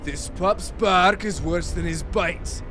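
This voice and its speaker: A man playing a steady, protective god in an audio drama, talking in a soothing way. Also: sits high, slow pace, a little hiss or hum, heavily acted.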